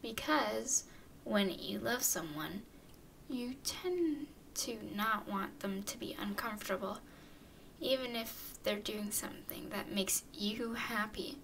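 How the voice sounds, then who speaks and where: Girl doing a haughty teasing vampire lady, asmr roleplay, kinda high voice, takes her time, breathy exasperated asides. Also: slow pace, fairly bright tone, slight background noise, heavily acted, rough recording. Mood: reluctant, confiding. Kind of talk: ASMR roleplay, acted monologue to listener.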